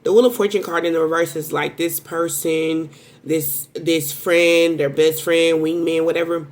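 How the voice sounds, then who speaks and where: A woman giving a casual, rambling tarot reading to viewers, talking in a strong voice that jumps into sudden high exclamations. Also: fairly even intonation, normal speed, a little mellow. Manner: self-described strong voice. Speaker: tarot reader.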